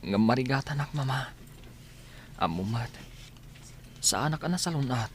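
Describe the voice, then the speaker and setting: A man's voice: mid-range voice, lively intonation, a little slow, slight background noise, close to an everyday voice. A man with an Ilocano accent playing a sickly, downcast young student and dutiful son in a radio drama. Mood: pleading. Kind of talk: Filipino radio drama acting.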